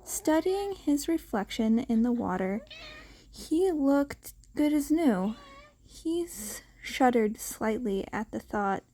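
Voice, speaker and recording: A woman reading scripted fiction aloud as an amateur audiobook narrator, with a halting delivery and small stumbles. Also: a little high, lively intonation, mellow.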